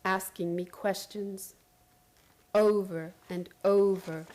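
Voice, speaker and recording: A woman's voice: mid-range voice, fairly even intonation, slow, darkish tone, natural voice. An actress playing an obsessed, frantic woman hospital patient who tells her strange story in a radio play, intense and theatrical. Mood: haunted, weary. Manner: intense, haunted, urgent, theatrical. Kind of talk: radio play acting, first-person dramatic narration.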